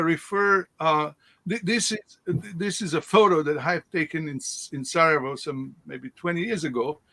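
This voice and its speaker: A man from Sandžak with a Bosnian accent, giving an online presentation with shared slides, halting and full of fillers, often asking "right?". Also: on the high side, a bit bright, rough recording. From Sandžak, former Yugoslavia. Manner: halting, many fillers and restarts, rhetorical "right?". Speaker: guest speaker. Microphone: video call.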